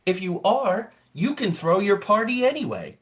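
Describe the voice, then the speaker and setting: A man's voice: high voice, very animated, normal speed. Theatrical man, a children's storyteller. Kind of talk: casual explaining and dramatic read-aloud.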